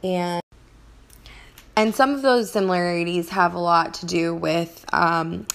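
A young woman from Colombia co-hosting a podcast, soft-spoken, with lots of hesitant "um"s. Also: fairly low pitch, fairly even intonation, measured pace, vocal fry. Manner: soft-spoken, many ums, gentle laughs. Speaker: podcast co-host. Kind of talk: casual podcast chat.

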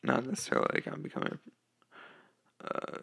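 A sixteen-year-old boy hosting his own podcast, talking casually and hesitantly with lots of ums and uhs, through a low-quality microphone. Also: brisk, fairly bright tone. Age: teens. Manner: hesitant, many ums and uhs, occasional laughs. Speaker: podcast host. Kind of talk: casual solo podcast talk. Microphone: low-quality home microphone.

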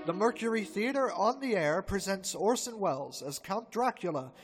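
A man's voice: sits high, animated, normal speed, long reverb tail, soft background music. A man playing a 1930s radio announcer presenting the program in a formal cadence.

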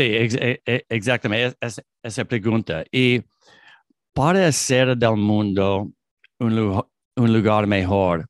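Native English man as interview guest, slow halting Spanish, lowish voice, dry recording. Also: no room sound. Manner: hesitant, halting non-native Spanish with restarts. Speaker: podcast host, guest. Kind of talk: interview conversation.

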